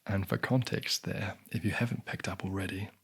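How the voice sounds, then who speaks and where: A young New man talks softly and unhurriedly into a close mic. His lowish voice is husky and gravelly, worn raspy as if he is losing it. He keeps stopping to clear his throat between answers. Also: fairly even intonation. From New Zealand. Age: 20s. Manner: soft-spoken, unhurried, frequent throat clearing. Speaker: ASMR channel host. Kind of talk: soft-spoken Q&A ramble.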